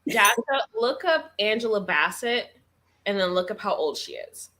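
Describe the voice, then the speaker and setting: A woman's voice: mid-range voice, lively intonation, normal speed, pushed and bright. A woman chatting casually with friends in a teasing, matter-of-fact way. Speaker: participant in a live reading stream. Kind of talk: casual group chat.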